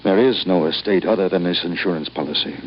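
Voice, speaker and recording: A man's voice: low voice, fast, close to an everyday voice. An actor playing an ailing, embittered old businessman, formal, curt and emphatic in a radio drama. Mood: stern, formal. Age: older. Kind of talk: 1950s radio drama acting. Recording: muffled, noisy old radio recording.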